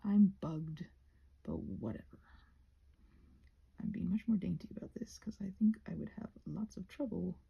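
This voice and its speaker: A woman chatting in a rambling, halting ASMR style, soft-spoken and often slipping into whispers. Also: fairly low pitch, sing-song, vocal fry, slightly rough, lo-fi sound. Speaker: ASMR creator rambling while painting a craft project. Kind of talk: ASMR rambling casual chat.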